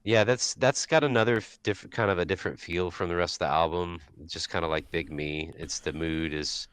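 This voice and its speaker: A man's voice: deep voice, steady tone, brisk, fairly bright tone, clean tone, dry recording. A man co-hosting a podcast, talking casually about music in a laid-back way and explaining songwriting terms. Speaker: guest co-host.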